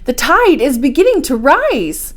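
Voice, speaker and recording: An excitable young man on an expedition read by a woman storyteller, full of questions and wonder. His lines lift above a middle pitch in this adventure storybook. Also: a little slow. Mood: amazed. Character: curious, amazed young nephew on an expedition.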